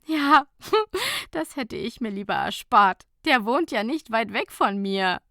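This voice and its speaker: Sassy young female friend acted by a woman reader, outspoken and emphatic, raised bright voice, comic audiobook. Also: a little high, sing-song, slow pace, dry recording, clean studio sound, voice pushed up, full character voice. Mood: rueful. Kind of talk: humorous audiobook character dialogue.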